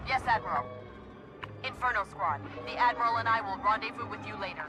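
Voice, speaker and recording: A woman playing a disciplined, dutiful imperial squad commander in a video game, crisp and controlled. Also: a little high, brisk, over music, lightly acted. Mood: brisk resolve. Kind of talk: cinematic video game acting.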